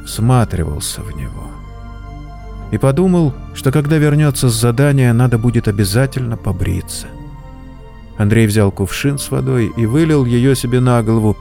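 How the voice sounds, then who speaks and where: A man narrating an audio drama in a measured, deliberate storytelling manner. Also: mid-range voice, warm tone. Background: faint music.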